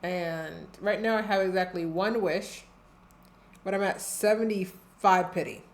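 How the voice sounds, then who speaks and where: A woman's voice: on the low side, a little slow. A young woman giving casual, rambling live commentary on a game, wry and self-deprecating. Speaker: game streamer. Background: game music.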